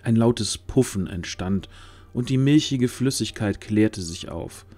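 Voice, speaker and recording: A man's voice: on the low side, a little slow, a little mellow, soft background music. A man narrating a scripted fantasy audio drama as its storyteller.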